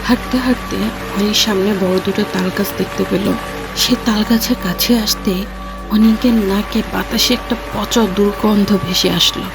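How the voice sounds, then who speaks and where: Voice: mid-range voice, steady tone, moderate pace, low-quality recording. A narrator reading a scripted horror story. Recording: loud music under the voice. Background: loud background music.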